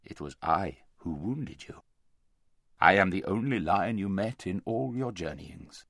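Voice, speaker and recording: A man voicing a great male lion in a storybook reading, grave, gentle and commanding, speaking slowly and deliberately with weight. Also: sits low, lively intonation, gravelly, no echo, clean studio sound, exaggerated voice.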